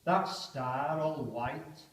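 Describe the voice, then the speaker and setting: A man's voice: a little high, very slow. Man reciting as a fatalistic trench soldier, echoing church. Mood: stunned, fatalistic. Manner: dramatic declaiming with sudden loud shouted countdown calls. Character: British First World War soldier awaiting the attack, stunned, fatalistic. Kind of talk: dramatic poem recitation. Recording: echoey church, distant microphone.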